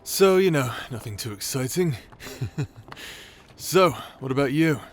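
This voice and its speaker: An actor playing a crude, irreverent rogue warrior in a fantasy audio roleplay, talking in a casual, sarcastic, teasing way. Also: male voice, mid-range voice, animated, a little slow, slight background noise, close to an everyday voice. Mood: teasing, bored. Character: cheeky, crude, irreverent bastard warrior man, sardonic rogue.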